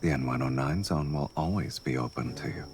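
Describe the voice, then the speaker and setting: A man playing a suave, self-assured love interest in game dialogue, speaking in a measured, dryly teasing way. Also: extremely low pitch, a little mellow, a little hiss or hum. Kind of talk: scripted dubbed game dialogue. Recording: music behind the voice.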